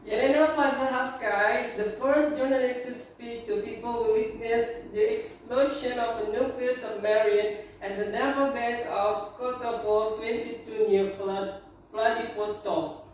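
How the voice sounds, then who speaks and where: A woman student reads a news text aloud in a fairly high voice. She sounds distant in a very echoey room, with faint noise behind her. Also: steady tone, a little slow, darkish tone.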